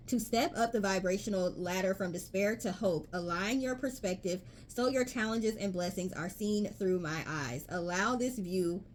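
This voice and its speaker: Casting: woman tarot reader, mid-pitched, talks straight to viewers, emphatic, with faint background hiss. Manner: chatty, emphatic, reads card text aloud. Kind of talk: casual tarot reading to viewers.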